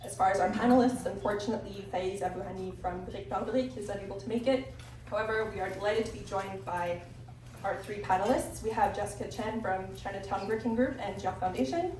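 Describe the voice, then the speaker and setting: A woman host reading formal introductions for a panel in a steady voice. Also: mid-range voice, a little fast. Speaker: event host. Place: crowded hall. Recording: echoey room, distant mic, noise.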